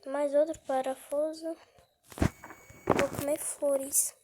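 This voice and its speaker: High-voiced woman with a cold. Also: little pitch movement, slow pace, warm tone, slight background noise. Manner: chatty, easily startled, often drops to a whisper. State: has a cold. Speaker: gaming channel host. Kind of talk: casual gameplay commentary.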